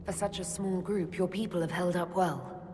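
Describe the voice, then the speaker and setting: A woman's voice: fairly low pitch, fairly even intonation, normal speed, mellow, lightly acted. A woman playing a composed, inquisitive leader in a video game, level-headed and questioning in manner. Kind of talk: dramatic video game dialogue. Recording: music under the dialogue.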